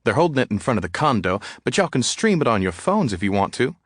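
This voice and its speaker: Southern drawl, flamboyant gossip, chatty and theatrical, raised pitch, loud, man doing the voice. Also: sing-song, fast, dry recording, studio quality. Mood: gossipy. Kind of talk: audiobook character voice.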